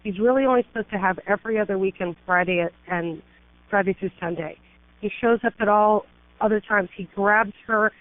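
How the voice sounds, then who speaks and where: A woman's voice: mid-range voice, dry recording. A middle-aged woman attorney calling an emergency line, urgent and pleading, piling up emphatic lists of complaints. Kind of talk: emergency phone call. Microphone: telephone. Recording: tinny phone line.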